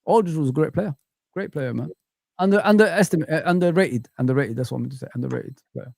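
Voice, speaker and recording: A man from Africa chatting casually as a football pundit on a fan livestream, reminiscing in an animated way and often adding "you know what I mean". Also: fairly high pitch, quick pace, creaky, no echo, studio quality.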